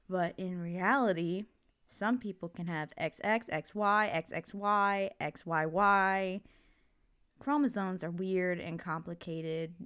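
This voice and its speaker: A woman playing a supportive, doting mother in an ASMR roleplay, talking in a gentle, reassuring, slightly rambling way. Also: on the low side. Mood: thoughtful, rambling. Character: supportive, doting, chatty mother comforting her child. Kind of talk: ASMR roleplay, intimate one-sided conversation.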